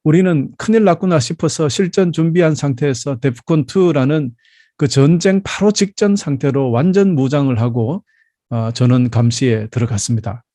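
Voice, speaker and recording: A man in his fifties from South Korea preaching a Sunday sermon as a pastor, telling personal stories in a measured, explanatory way. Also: on the high side, mellow, dry recording, clean studio sound. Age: 50s.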